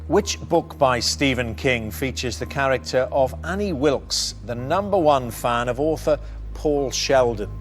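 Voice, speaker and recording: A man's voice: medium pitch, animated, a little slow. A man hosting a television quiz show, presenting and putting questions to contestants. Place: TV studio. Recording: faint music bed behind. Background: music.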